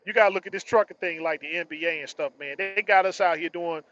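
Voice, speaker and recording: A man's voice: high voice, fast, fairly bright tone, dull audio. A man in his early forties giving a casual, slangy motivational monologue, emphatically repeating phrases for stress. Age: early 40s. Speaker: truck driver vlogger.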